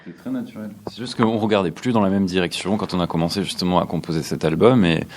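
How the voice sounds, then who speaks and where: A young man from southern France, a band member, speaking calmly and reflectively in an interview. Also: low voice, quick pace, slight background noise. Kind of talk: casual interview.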